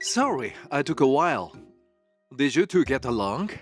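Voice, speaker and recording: An earnest middle-aged father played by a man speaks apologetically in a manga dub. His mid-pitched voice moves widely up and down, with light music behind it. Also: sing-song, moderate pace, natural voice. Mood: friendly.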